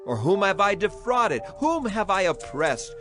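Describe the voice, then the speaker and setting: Solemn old prophet, higher-pitched oration over music. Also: male voice, sing-song, a little slow. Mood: earnest challenge. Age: older. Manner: solemn, declamatory oratory. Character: aging male prophet, solemn, righteous, stern yet caring. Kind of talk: dramatized scripture acting.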